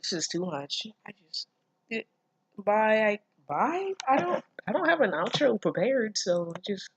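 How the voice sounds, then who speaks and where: A woman's voice: mid-range voice, big pitch swings, measured pace, slight background noise. A woman reading and commenting on fan fiction in a casual, comedic way, sweary, sardonic and exasperated, stumbling over her words. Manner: breaks into laughter and stammers.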